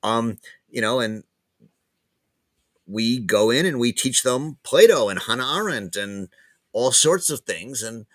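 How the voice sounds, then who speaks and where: A man, a guest professor, talking casually in an interview, pausing and repeating words as he thinks aloud. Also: mid-range voice, animated, no echo. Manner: hesitant, many restarts and repeated words.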